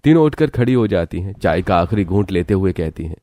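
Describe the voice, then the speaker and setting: A man's voice: low-pitched, lively intonation, fairly quick, warm tone. A man narrating a scripted cartoon family story.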